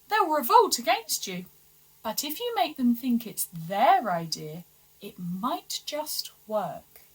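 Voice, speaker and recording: A woman's voice: fairly high pitch, sing-song, slow pace, slightly rough, full character voice, rough recording. A woman voicing a king's courteous, scheming adviser in a children's storybook.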